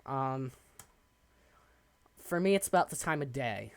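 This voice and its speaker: Young male streamer, hoarse and raspy, slow rambling chat, dry close sound. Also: mid-range voice, animated, no echo. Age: young adult. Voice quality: raspy from coughing. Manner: rambling, halting, many ums. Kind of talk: casual live-stream chat while building. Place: home desk.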